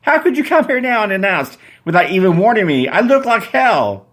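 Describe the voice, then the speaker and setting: A woman's voice: big pitch swings, fairly quick. A man voicing a furious, tearful woman in curlers who screams at her date. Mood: mortified. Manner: screaming, tearful, high-pitched.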